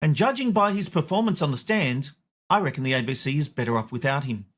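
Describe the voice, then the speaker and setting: Man, Australian accent, media show host, wry, opinionated, slightly high pitch. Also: animated, fast talker, a bit bright. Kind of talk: media commentary.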